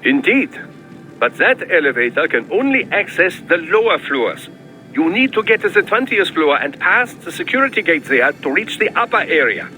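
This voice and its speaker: A high-pitched, excitable German scientist in a video game. He is precise and theatrical as he advises on a mission, with prominent music underneath. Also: moderate pace, heavily acted, low-quality recording. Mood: explanatory. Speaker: mission support over radio.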